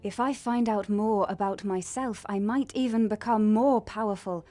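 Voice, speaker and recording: A woman playing a calm, mysterious heroine in a fantasy video game. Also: medium pitch, moderate pace, faint music behind, natural voice. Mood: resolute. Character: calm, mysterious woman seeking her true origins.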